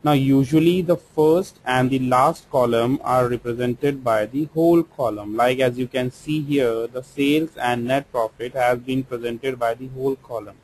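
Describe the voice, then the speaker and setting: A man with an Indian English accent, walking through a software tutorial step by step as a trainer, explaining slowly with occasional fillers. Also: medium pitch, a little hiss or hum, poor audio. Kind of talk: screen-recorded software tutorial. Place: voice-over for screen recording.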